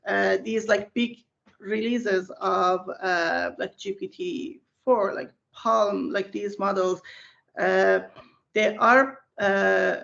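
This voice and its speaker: A woman's voice: medium pitch, steady tone, slow pace, creaky, fairly bright tone, dull audio. A woman whose first language is Persian, a research scientist answering interview questions in a thoughtful way with many fillers and restarts, heard over a video call.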